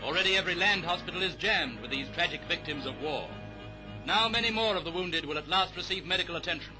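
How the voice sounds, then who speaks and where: Male British newsreel narrator, 1930s style, high register, declamatory delivery over a music bed. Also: fast talker, low-quality recording. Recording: vintage 1930s newsreel soundtrack.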